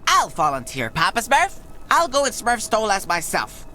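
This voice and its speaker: Boastful little male cartoon know-it-all, pompous, sharp bright tone, animated. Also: mid-range voice, normal speed, a little hiss or hum. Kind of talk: cartoon video game cutscene acting.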